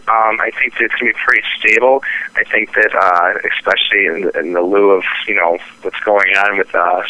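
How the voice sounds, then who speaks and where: Male pundit, very sharp-edged low voice, opinionated yet halting, giving market views over a dull phone line. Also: no room sound. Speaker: trading firm president, interview guest. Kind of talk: phone interview market commentary. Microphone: telephone.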